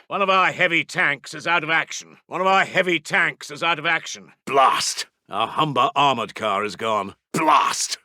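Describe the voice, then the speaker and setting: Casting: grim British WWII intelligence officer, game voicelines, forceful highish voice, terse delivery, close dry sound. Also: male voice, dry recording. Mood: dismayed, exasperated. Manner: clipped, terse, grave military reporting. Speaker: game voice actor. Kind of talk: military radio report voicelines for a strategy game.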